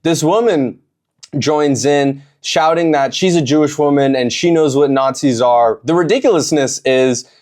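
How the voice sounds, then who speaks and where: A man's voice: on the high side, a little slow, a little mellow. Male host narrating over protest footage, casual and opinionated, often restarts his sentences. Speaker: video host reacting to own protest footage. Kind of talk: reaction commentary.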